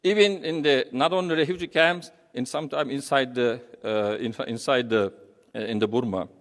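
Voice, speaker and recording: Turkish-accented man speaking in an echoey hall. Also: medium pitch. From Turkey. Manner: halting, rambling, repeats phrases. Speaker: humanitarian charity representative speaking. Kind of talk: informal public speech. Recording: echoey hall with long reverb.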